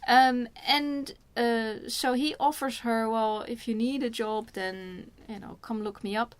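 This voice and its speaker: A woman's voice: fairly high pitch, steady tone, dry recording. Dutch woman retelling a film plot. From Netherlands. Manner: plain storytelling with quick interjections. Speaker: film review co-host.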